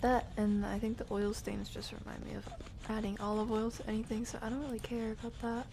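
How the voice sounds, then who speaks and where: A young woman streaming a game from her room, chatting casually and playfully, rambling and often dropping to a breathy near-whisper. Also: on the high side, level tone, brisk, warm tone, slight background noise. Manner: playful, rambling, murmuring to herself. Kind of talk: casual live stream chat while playing.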